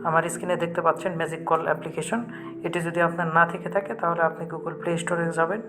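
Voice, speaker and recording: A man with a Bangladeshi accent narrates a step-by-step app tutorial at a quick clip. His delivery stays flat and instructional, with music clearly playing behind him. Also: fairly high pitch. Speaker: tech tutorial host. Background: background music.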